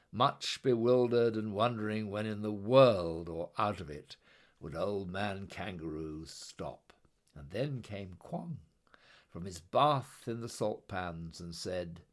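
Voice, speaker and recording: A man reading a children's story aloud in a dramatic, rhythmic, expressive way, with incantatory repetition. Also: on the low side. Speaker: storybook reader.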